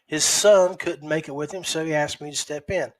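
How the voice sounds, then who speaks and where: A middle-aged man hosting a podcast, giving a casual solo recap in a halting way with repeats and pauses. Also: fairly high pitch, fairly even intonation. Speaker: podcast host.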